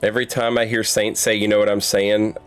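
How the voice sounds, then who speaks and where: A man's voice: on the low side, level tone, a little fast, clean tone, faint music behind. A man chatting casually and profanely as he hosts a livestream of a game playthrough. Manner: profane, conversational. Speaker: game streamer and host.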